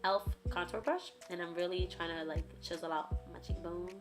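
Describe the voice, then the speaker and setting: A young woman with a hoarse, raspy voice, chatting casually through a makeup tutorial. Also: on the low side, normal speed. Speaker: beauty vlogger. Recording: voice-over with loud background music.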